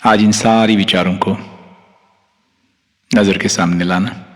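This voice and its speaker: Indian male meditation guide, low voice, calm and soothing, very slow delivery with long pauses. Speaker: meditation teacher, Reiki master guiding yoga nidra. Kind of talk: guided meditation, instructing listeners. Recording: long hall-like reverb on the voice.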